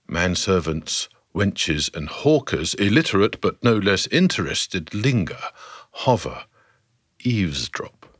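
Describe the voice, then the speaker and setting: A man narrating a scripted story for a podcast in a theatrical, measured way with dramatic pauses. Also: sits low, fairly bright tone, slightly rough, no echo, studio quality.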